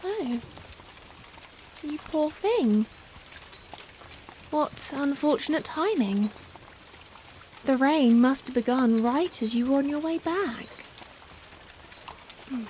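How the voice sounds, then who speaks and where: A woman playing a young, charming female Victorian vampire in a softly spoken ASMR roleplay, gentle and caring, with formal old-fashioned phrasing. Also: high voice, slow, long pauses. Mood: tender concern. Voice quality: soft, gentle. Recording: steady rain noise behind the voice. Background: rain ambience.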